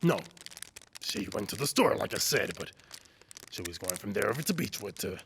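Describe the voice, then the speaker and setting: A man acting a shifty, menacing neighbour with a guilty secret in an old-time radio drama, halting and evasive. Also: on the low side, big pitch swings, vocal fry, a bit bright, slight background noise, exaggerated voice. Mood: nervous, coaxing.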